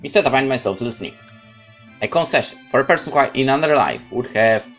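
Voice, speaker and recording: A man narrating a horror visual novel in first person, calm, measured and brooding. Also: medium pitch, soft background music. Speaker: let's play host narrating a visual novel.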